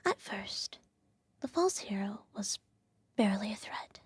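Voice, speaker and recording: A woman playing a regal, self-righteous evil empress who soothes her child with a whispered ASMR bedtime story in a measured, gently condescending way. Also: mid-range voice, expressive, dry recording. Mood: solemn storytelling. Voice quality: soft, breathy whisper. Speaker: ASMR roleplay performer.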